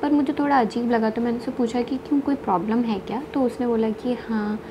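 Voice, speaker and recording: A woman's voice: on the high side, fairly even intonation, brisk, dull audio. A young woman in her twenties chatting casually to a phone camera, vlogging about her travels and mixing English words into her Hindi. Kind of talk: casual vlog chat. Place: small bedroom at home, indoors. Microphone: phone camera at arm's length. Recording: noisy train and station ambience.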